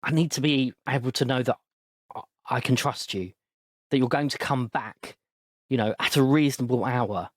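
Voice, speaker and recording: A man's voice: mid-range voice, moderate pace, dry recording, clean studio sound, natural voice. A man voicing a strict father who lectures his son firmly. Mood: stern. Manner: firm and lecturing. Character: stern, strict middle-aged father.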